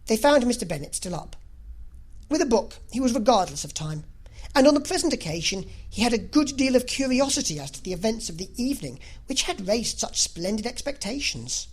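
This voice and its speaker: A woman reading a literary novel aloud as an audiobook narrator, in an expressive, scripted style. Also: on the high side, a little fast, slightly rough.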